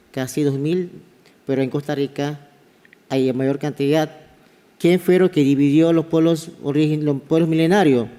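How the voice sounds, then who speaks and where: A man from Panama with a Kuna accent, an anthropology professor giving a halting conference talk that often repeats words. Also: fairly high pitch, mellow. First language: Kuna (Guna). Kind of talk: conference presentation. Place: large conference hall. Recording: reverberant conference hall.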